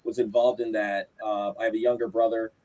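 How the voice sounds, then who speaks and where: A man's voice: fairly low pitch, little pitch movement, a little fast, darkish tone, soft background music, poor audio. A man in his forties from Florida, a podcast guest chatting and telling long rambling stories about his life. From Southwest Florida, United States. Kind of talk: casual chat.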